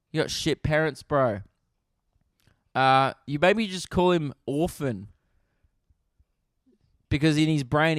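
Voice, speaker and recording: Australian male comedy podcast host, close mic, smooth clear voice, loose and sweary, cracks himself up. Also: fairly high pitch, dry recording. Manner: riffing, swears freely, laughs at his own jokes. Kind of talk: casual solo podcast chat.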